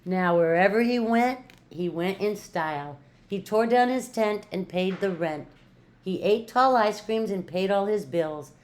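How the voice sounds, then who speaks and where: An older woman reads a children's picture book aloud at a slow pace. Her delivery is expressive and rhythmic, with playful drawn-out emphasis on key words, in a mid-pitched voice. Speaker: retired teacher reading to children.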